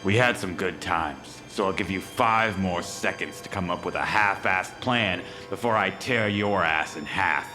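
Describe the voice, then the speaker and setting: A slow, deep, menacing villain. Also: male voice, pushed and bright. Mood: taunting menace. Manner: taunting, deliberate, cold. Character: menacing, mocking hulking male turncoat, superhumanly strong. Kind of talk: dark audio drama villain acting. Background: music.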